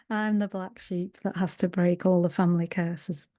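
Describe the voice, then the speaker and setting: Woman, sore-throat rasp, whispery spiritual talk, warm mellow tone, earbud mic. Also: on the low side, animated, takes it slowly. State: slightly raspy sore throat. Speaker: hypnotherapist and healer.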